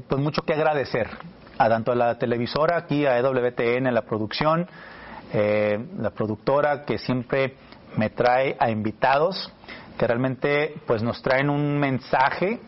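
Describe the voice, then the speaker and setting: The audio is slightly dull and band-limited. A man speaks as a talk show host, conversationally guiding an interview at a medium pitch.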